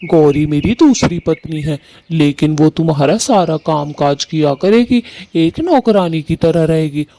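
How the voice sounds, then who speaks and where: A man voicing Kalu, a harsh, bossy male bird husband in a cartoon who scolds loudly. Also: fairly high pitch, expressive, unhurried, few pauses. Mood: pleased. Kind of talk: Hindi cartoon voice acting.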